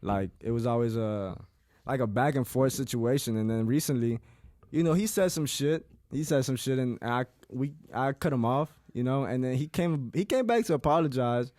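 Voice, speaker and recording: A man speaks in a dark, mellow tone in a casual podcast chat, the sound dry and close with no room echo. He works through his thoughts slowly and reflectively, leaning on "like" and "you know" as he goes. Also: medium pitch. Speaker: podcast co-host.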